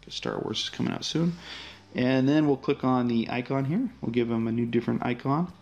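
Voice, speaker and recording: A man's voice: medium pitch, brisk, creaky, dull audio, slight background noise. A man, the app's developer, casually walking through a demo of his app with frequent fillers and restarts. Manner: relaxed, friendly walkthrough with frequent fillers.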